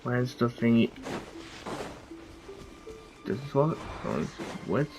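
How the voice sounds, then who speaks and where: Guy streaming a game, mid pitched, chatting loosely n trailing off, bit of game music behind. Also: very animated, slow, poor audio. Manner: rambling, trails off mid-thought. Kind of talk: casual gameplay commentary.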